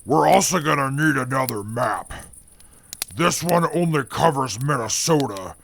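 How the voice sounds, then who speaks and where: Brief: gravelly, slow-spoken navigator voiced by a man, formal and methodical. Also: medium pitch, expressive, a bit bright, a little hiss or hum. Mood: calm. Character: calm, precise male navigator who never sleeps. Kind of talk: audio drama acting.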